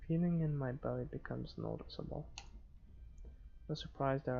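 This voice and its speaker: A man with a heavily gravelly, creaking voice reads story narration from a visual novel as a gaming host. His reading is halting and stumbles at times, on a dull lo-fi microphone with faint noise. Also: mid-range voice.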